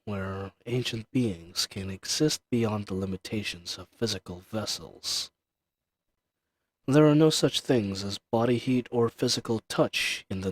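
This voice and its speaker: A man plays an ancient male dragon in an intimate fantasy roleplay. The voice is fairly low and very unhurried, with a haughty, teasing manner and formal, archaic phrasing. He sounds dangerous yet tender toward the one he addresses. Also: slightly rough, full character voice. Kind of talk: dramatic roleplay audio addressed to the listener.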